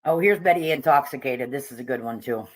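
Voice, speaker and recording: A woman's voice: a bass voice, a little fast, low-quality recording. A woman giving casual, opinionated commentary on video clips, with frequent hesitations and fillers. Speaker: commentary YouTuber.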